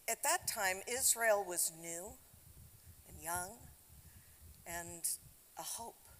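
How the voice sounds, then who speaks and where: A woman's voice: fairly low pitch, a bit bright. An older woman giving a conversational, reflective talk to the congregation at a Shabbat service in a synagogue sanctuary. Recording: long hall reverb with faint background noise.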